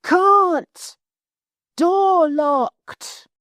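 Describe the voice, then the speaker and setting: A man voicing an excited young boy who shouts loud and slow from a church tower. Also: very high-pitched, dry recording, clean studio sound, pitched above the performer's own voice, heavily acted. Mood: shouting.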